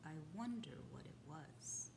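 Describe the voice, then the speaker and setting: A woman's voice: low-pitched, animated, a little slow, creaky, warm tone, a little hiss or hum, full character voice. A woman voicing suspicious, gossiping male wild boars. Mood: fussy. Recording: echoey room with faint ambience.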